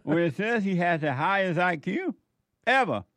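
A man's voice: high-pitched, sing-song, moderate pace, a bit bright, no room sound. A man hosting a casual chat who reacts to posts with laughter and playful repetition. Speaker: show host.

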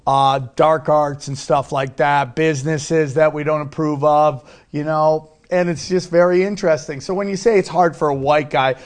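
A man's voice: a little high, fairly even intonation, normal speed, hardly any pauses. A middle-aged man talking casually as an interview guest, a comedian who tells things in an emphatic way, repeating himself for effect.

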